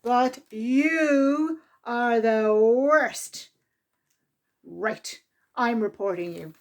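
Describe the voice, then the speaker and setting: A woman voicing a furious wildlife television presenter in a storybook, scolding indignantly with drawn-out emphasis. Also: a little high.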